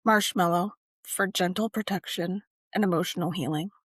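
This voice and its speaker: A woman narrating a scripted guided meditation and ritual in measured, deliberate phrases with frequent pauses. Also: on the low side, husky, no echo.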